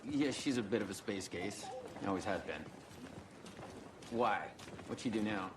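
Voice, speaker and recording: An actor playing an affable, understated small-town sheriff in a naturalistic video game style. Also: male voice, on the low side, unhurried, background noise, natural voice. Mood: wry, relaxed. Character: easygoing, folksy small-town male sheriff, wryly friendly.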